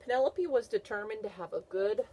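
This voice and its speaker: A woman's voice: mid-range voice, brisk, warm tone, slight background noise. A woman teacher reading a picture book aloud to young children, repeating questions and answers in an encouraging way. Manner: encouraging, praising the listener.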